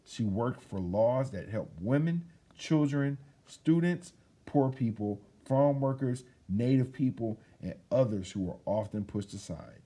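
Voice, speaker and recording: Husky man reading a storybook with lively swings. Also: medium pitch, measured pace. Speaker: children's read-aloud host. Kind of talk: reading a children's picture book aloud.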